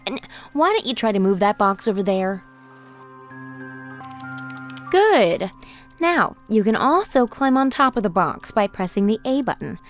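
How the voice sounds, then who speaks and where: A woman playing a busy, irritated mother in a video game, brisk, firm and dismissive. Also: medium pitch, big pitch swings, faint music behind. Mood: instructive. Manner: exasperated, firm. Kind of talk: video game cutscene voice acting with tutorial instructions.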